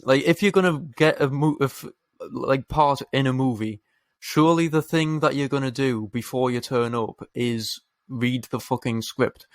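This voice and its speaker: A man's voice: medium pitch, fairly even intonation, normal speed, dry recording. Sweary man, dry close mic. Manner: sweary, rambling, laughs while talking. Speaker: podcast co-host. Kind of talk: casual podcast banter.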